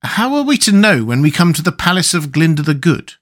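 A man's voice: on the high side, very animated, brisk, fairly bright tone, pitched above the performer's own voice. A man voicing an earnest, thoughtful, inquisitive young boy with a direct storybook manner. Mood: worried.

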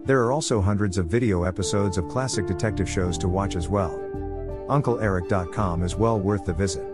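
Male host, lowish register, scripted presenter read with music playing behind. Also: a little fast. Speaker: podcast host. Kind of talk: scripted narration, show introduction.

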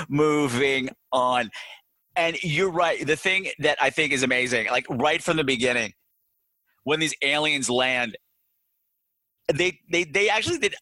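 A middle-aged man hosting a casual movie chat show, playful and quick to laugh, with jokey asides. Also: fairly high pitch, pushed and bright, no room sound, low-quality recording. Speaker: podcast host.

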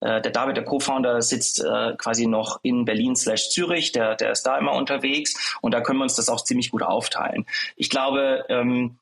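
A man's voice: medium pitch, steady tone, moderate pace, vocal fry, a bit bright. A man being interviewed about his company, speaking with many hesitations and fillers. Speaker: startup founder guest.

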